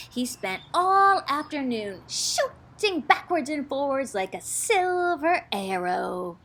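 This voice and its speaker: High, bright-voiced woman reading theatrically. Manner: theatrical, dramatic emphasis. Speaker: drama teacher reading aloud. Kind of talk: expressive storybook read-aloud.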